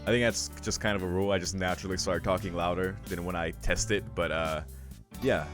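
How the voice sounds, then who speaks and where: Male streamer, low register, chatty reactions and read-aloud game text, music behind. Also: fairly even intonation, brisk. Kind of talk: casual commentary while reading visual novel text aloud.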